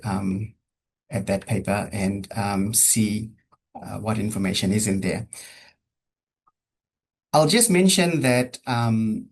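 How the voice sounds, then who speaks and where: A man with a New Zealand accent presenting a research data workshop over a webinar, hesitating often with ums and uhs. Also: lowish voice.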